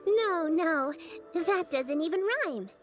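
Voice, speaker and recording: A woman voicing a kind, chirpy little girl in an anime-style video game, gently explaining and encouraging like a patient young teacher. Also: extremely high pitch, takes it slowly. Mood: cheerful. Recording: game dialogue over music.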